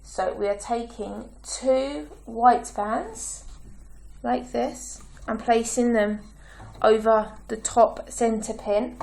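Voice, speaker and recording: A woman with a croaky throat, giving slow, patient step-by-step craft instructions as a tutorial host. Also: a little high, steady tone, mellow. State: croaky, clearing her throat.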